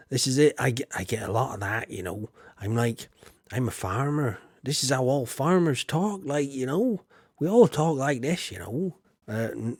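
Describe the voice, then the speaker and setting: A man's voice: mid-range voice, darkish tone, no room sound, studio quality. A man hosting a livestream, chatting to viewers in a rambling, folksy way full of filler. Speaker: livestream host. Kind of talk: casual livestream chat to viewers.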